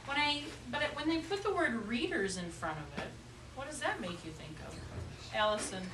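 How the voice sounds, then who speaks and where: High-voiced woman teacher in an echoey classroom. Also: very animated, moderate pace. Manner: patient, repeats pupils' answers back. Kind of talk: classroom lesson, questioning young children. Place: classroom with children. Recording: echoey classroom, distant mic, noisy.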